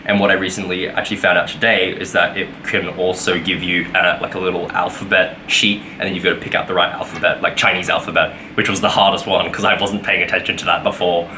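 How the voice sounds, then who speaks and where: A man's voice: sits low, level tone, fast talker, hardly any pauses, background noise. A young man giving a casual weekly vlog update, rambling with lots of fillers and self-corrections. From Australia. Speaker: vlogger.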